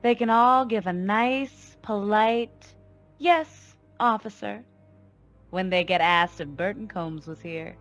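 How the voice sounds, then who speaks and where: A woman's voice: mid-range voice, a bit bright, soft background music. An actress playing a cold, commanding female gangster boss in a noir radio play, taunting and full of threat. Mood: scornful taunting. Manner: taunting, deliberate, imperious. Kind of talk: 1940s radio noir acting.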